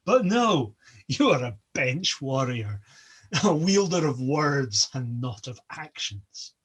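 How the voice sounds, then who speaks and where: A man's voice: mid-range voice, sing-song, slow pace, fairly bright tone, slightly rough, full character voice. A man voicing a scornful, taunting male trickster god at a feast, mocking each guest in turn.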